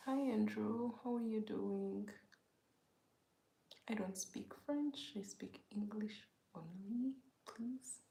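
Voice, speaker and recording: A woman from Uganda hosts a relaxed livestream, drifting into near-whispers as she reads out comments. Her voice is low-lit and mellow with a creaky edge, and her slow delivery fills an echoey room. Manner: slow, soft-spoken, greets viewers by name, often whispery. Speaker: livestream host. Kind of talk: casual livestream chat reading comments.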